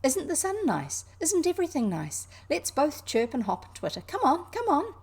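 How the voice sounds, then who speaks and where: A woman reading aloud, voicing a cheerful little robin with a bright, high, sing-song and coaxing delivery. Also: wildly swooping pitch, moderate pace, exaggerated voice.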